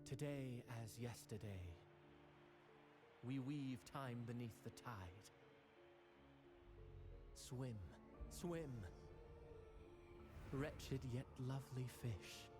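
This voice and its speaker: An actor playing a solemn, theatrical villain in a video game, speaking in archaic, poetic phrases. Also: male voice, medium pitch, extremely slow, pauses often, over music. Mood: brooding, theatrical. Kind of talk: dramatic game voice acting.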